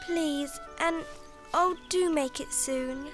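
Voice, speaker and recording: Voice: sits high, takes it slowly, music in the background. A performer playing an earnest, devoted young schoolgirl in a radio drama, tender and pleading.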